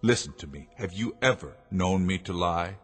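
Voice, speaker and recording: Deep voiced inventor guy, formal n persuasive, voice moves around a lot, radio play w music under. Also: expressive, a bit bright, slightly rough, exaggerated voice. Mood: insistent. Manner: measured, authoritative, formal. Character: calm, persuasive male inventor and master of the household. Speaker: actor in a radio drama. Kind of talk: radio drama acting.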